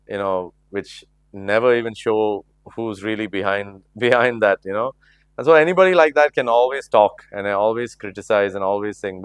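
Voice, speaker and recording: A man's voice: medium pitch, smooth, dry recording. A man in his forties, a playback singer whose first language is Malayalam, answering interview questions reflectively with many fillers and restarts.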